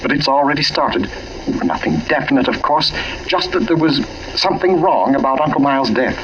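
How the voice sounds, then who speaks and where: A hissy, muffled old radio recording holds a man acting a troubled, earnest fellow in a 1940s mystery. He speaks haltingly, with plenty of movement in his pitch. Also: lively intonation, a bit bright, natural voice. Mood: grave, troubled. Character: troubled, hesitant man confiding a family murder.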